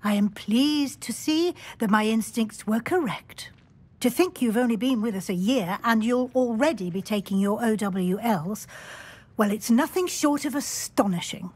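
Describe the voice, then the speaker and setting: An actress with a British accent playing a kindly, shrewd senior witch professor in a video game, measured, authoritative and encouraging. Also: a little high, a little mellow, slightly rough. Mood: proud. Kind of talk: dramatic video game voice acting.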